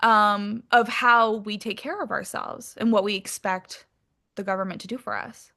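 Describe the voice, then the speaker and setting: A woman's voice: mid-range voice, fairly even intonation, moderate pace, sharp tone. A woman chatting casually as an interview guest, candid and very informal in her wording. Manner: candid, informal, peppered with "like", mild swearing. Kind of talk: casual chat.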